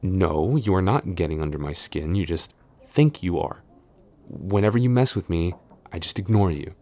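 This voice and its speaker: A man's voice: sits low, animated, moderate pace, warm tone. A man playing a flustered tsundere boyfriend and office supervisor in an intimate audio roleplay, stammering, exasperated and defensive as he scolds.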